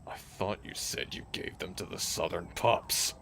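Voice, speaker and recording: A man's voice: sits low, unhurried, a bit bright, full character voice. A man playing a dramatic, wry werewolf packmate in a theatrical, incredulous manner for a fantasy audio drama. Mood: stunned, formal. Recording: clear background noise and ambience.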